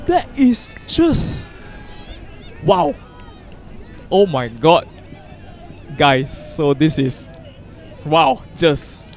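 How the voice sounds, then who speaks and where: Slow-paced, excitable male sports commentator. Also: a little high. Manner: excitable, with sudden loud exclamations. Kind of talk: live play-by-play commentary. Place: outdoor sports field.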